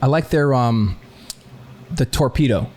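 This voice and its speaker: A young man in his twenties co-hosting a podcast, bantering and teasing on a boom-mounted studio microphone in a home bar studio. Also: measured pace. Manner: joking, energetic, jumps up in pitch when teasing. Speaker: podcast co-host. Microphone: boom-mounted studio mic.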